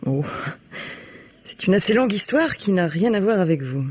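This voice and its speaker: Resolute, husky-voiced widow in radio drama. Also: female voice, mid-range voice, sing-song, moderate pace, a little mellow. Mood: calm, reassuring. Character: resolute, protective widow sheltering a fugitive. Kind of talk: French radio mystery drama acting. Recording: dull, lo-fi old radio recording.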